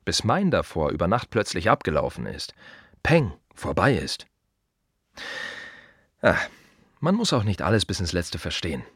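An actor playing a blunt, brooding gravedigger in a radio drama monologue, speaking colloquially in short, repeated, emphatic phrases. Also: male voice, low-pitched, wildly swooping pitch, normal speed, long pauses, a bit bright, slightly rough, no room sound, clean studio sound, exaggerated voice. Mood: defiant, life-affirming. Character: blunt, brooding male gravedigger musing on life and death.

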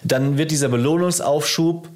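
A man's voice: a little high, moderate pace, a little mellow. Casual male podcast co-host, informal and joking. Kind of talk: casual chat between friends.

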